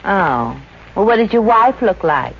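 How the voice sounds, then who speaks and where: A woman's voice: medium pitch, very animated, measured pace, slight background noise. A woman playing a wisecracking, friendly young Brooklyn showgirl with an American accent in a 1950s radio comedy, wry and slangy. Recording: muffled old radio recording with music.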